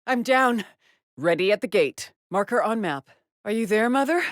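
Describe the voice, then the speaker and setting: A woman's voice: mid-range voice, very animated, moderate pace, no echo, clean studio sound, close to an everyday voice. An actress playing a weary, haunted woman astronaut in a video game, speaking in terse callouts or brooding murmurs. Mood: terse, flat, then desperate, pained. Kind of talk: scripted game character voice lines.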